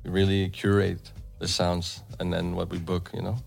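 Man, 30s, low voice, relaxed, laughs a lot, radio interview guest, soft music in background. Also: moderate pace, creaky. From Denmark, Filipino family. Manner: relaxed, laughs often, stumbling restarts. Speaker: DJ and event promoter.

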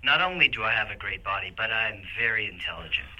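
A man making a prank phone call, keeping a deadpan tone through absurd claims. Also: fairly low pitch, quick pace, background noise. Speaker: prank caller.

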